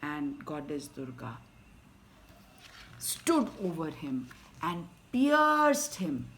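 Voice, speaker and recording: A woman with an Indian English accent reading a story aloud to children, swinging from loud emphasis to soft whispers. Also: low-pitched, big pitch swings, very slow, slight background noise. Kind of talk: expressive bedtime story reading.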